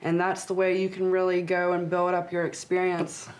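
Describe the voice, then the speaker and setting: A woman, an actress and model, answering interview questions with steady, explanatory advice. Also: on the low side, normal speed.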